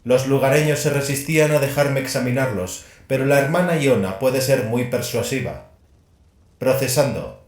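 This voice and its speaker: A man puts on a machine-augmented male scholar for an audio drama. He is detached and oddly enthusiastic, talking in halting clinical phrases. The voice is lifted well above a plain male register. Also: mid-range voice, roomy sound, pitched above the performer's own voice, natural voice. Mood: pleased. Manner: halting, clinical, mechanically repeats procedural words.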